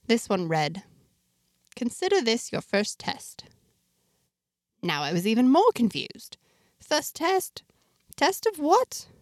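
A woman playing an earnest, wonder-struck outsider in a space fantasy, narrating her diary expressively with deliberate, dramatic pauses. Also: fairly high pitch, very animated, no room sound, clean studio sound. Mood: anxious curiosity. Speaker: narrator of a fiction podcast, in character. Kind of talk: scripted first-person storytelling, audio diary.

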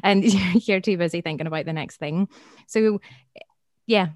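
A woman's voice: fairly low pitch, a little slow, a little mellow, no room sound, clean studio sound. A woman with a Northern Irish accent, a schools worker guest, giving practical tips in a friendly podcast chat with many ums.

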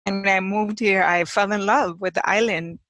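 A woman from Chicago talks in a bright, forceful voice, recorded dry and close with no room around her. She laughs easily and pauses to think, filling gaps with soft fillers. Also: medium pitch, steady tone, no echo. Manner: warm, laughs often, thoughtful pauses with fillers. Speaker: guest, clinical psychologist and sex therapist. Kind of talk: casual interview chat. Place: home office in Hawaii.

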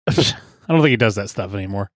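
A man's voice: lowish voice, expressive, no room sound, clean studio sound. Joking laid-back male co-host. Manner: joking, quick asides, trailing off. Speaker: podcast co-host. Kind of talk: casual banter.

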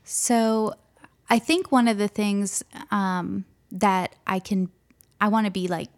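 A young woman pastor speaking softly and gently in an interview, pausing often with hesitant ums. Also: mid-range voice, steady tone. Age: young adult. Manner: soft-spoken, gentle, hesitant with many ums. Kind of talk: interview conversation.

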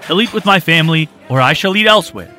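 A man voicing a generous, gentlemanly male diner who speaks firmly. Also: a little high, big pitch swings, a little fast, faint music behind, voice pushed up.

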